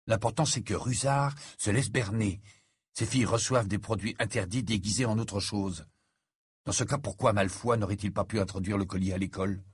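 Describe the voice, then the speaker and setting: A man's voice: fairly low pitch, brisk, husky, dry recording, studio quality. A man voicing a stubborn, defensive, awkward teenage schoolboy in a storybook, speaking insistently.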